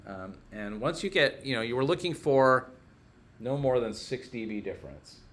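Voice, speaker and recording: A man's voice: mid-range voice, expressive, moderate pace, fairly bright tone. A man teaching a casual hands-on technical lesson, informally thinking aloud as he works through each step.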